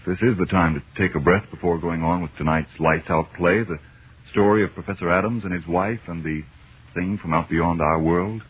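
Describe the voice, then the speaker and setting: A man hosting an old radio drama, narrating from a script in a solemn, dramatic, deliberate manner. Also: low-pitched, slightly rough. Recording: tinny, hissy old radio recording.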